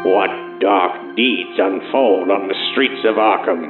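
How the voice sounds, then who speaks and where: A man narrating a horror trailer in a slow, ominous, measured way. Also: low-pitched, over music. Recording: echoey, muffled sound.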